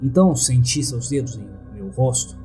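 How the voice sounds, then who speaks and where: A Brazilian man narrates a horror story in the first person. His voice is mid-pitched with a dark, soft-edged timbre. Light music plays underneath. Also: expressive, normal speed.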